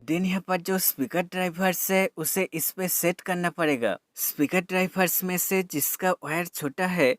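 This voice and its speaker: A man's voice: high voice, fairly even intonation, normal speed, hardly any pauses, a bit bright, no room sound, studio quality, soft background music. A man giving a scripted product demo voice-over as a reviewer, explaining plainly step by step and mixing in English terms.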